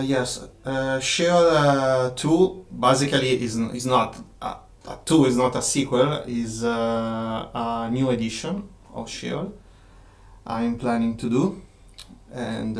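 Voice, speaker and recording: A man with an Italian accent hosting a casual livestream Q&A as a game designer, talking in a halting way with many filler pauses and sounding a little tired. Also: medium pitch, creaky.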